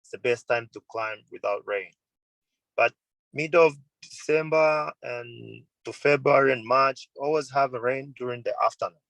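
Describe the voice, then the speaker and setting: A man's voice: medium pitch, moderate pace, vocal fry, fairly bright tone, no echo, low-quality recording. A man in his thirties from Tanzania, a mountain guide, answering interview questions on a video call in earnest, simple phrasing, often repeating and correcting himself. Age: 30s. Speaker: mountain guide and tour company owner.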